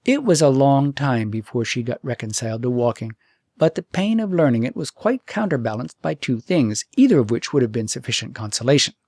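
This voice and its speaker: A man narrating a fairytale audiobook in an expressive, deliberate storytelling manner. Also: mid-range voice, dry recording, studio quality.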